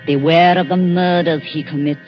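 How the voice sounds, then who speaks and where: An actor voicing an ominous, portentous warning in a grim 1940s radio horror tale. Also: male voice, a little high, steady tone, close to an everyday voice. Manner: slow, portentous. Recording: dull old 1940s radio recording with music.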